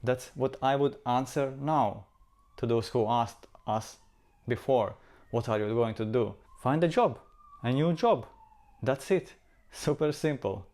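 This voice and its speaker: A man's voice: medium pitch, unhurried, a little mellow. A man from Latvia with a Latvian accent, talking to camera as a travel vlogger in an earnest, reflective way and repeating words for emphasis. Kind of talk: casual talk to camera.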